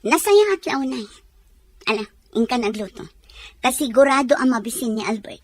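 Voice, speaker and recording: Woman playing bossy emotional rich wife in an audio soap, high voice, really swoopy, close dry sound. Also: animated, normal speed, dry recording. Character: well-off jealous wife, lady of the house. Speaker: voice actor in an audio drama. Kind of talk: melodramatic radio drama acting.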